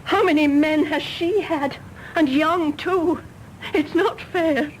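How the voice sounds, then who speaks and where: A woman's voice: high-pitched, slow pace, a little hiss or hum, rough recording. An actress playing a solemn, lonely governess in her thirties in a radio drama, earnest and intense. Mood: bitter, hurt. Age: 30s. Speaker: actor. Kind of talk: British radio drama acting.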